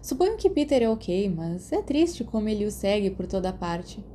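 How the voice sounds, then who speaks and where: A woman's voice: mid-range voice, big pitch swings, moderate pace, mellow, a little hiss or hum, lightly acted. A woman voicing an outspoken, clever, kind teenage witch girl in a story, with a frank, pointed way of talking.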